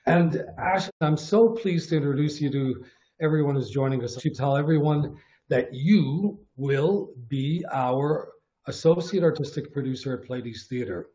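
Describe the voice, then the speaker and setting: A middle-aged man from Canada hosting a theatre interview, measured and earnest, a little halting when he talks off script. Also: on the high side, warm tone. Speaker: artistic producer and host. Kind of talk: formal introduction then conversational interview. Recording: dull lo-fi audio with faint music.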